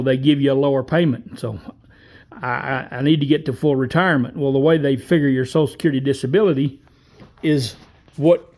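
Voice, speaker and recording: A man's voice: medium pitch, a little mellow. Folksy man in his sixties. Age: 60s. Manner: folksy, rambling, frequent "uh" pauses. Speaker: vlogger. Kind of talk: casual storytelling monologue.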